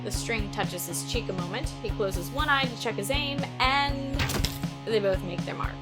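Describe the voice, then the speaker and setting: A woman's voice: a little high, normal speed, lightly acted. A woman playing a sardonic, defiant teenage girl archer who narrates and speaks in an audio drama, expressively. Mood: wry. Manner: sarcastic, expressive. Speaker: narrator and lead character. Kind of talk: first-person audio drama narration and dialogue. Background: music.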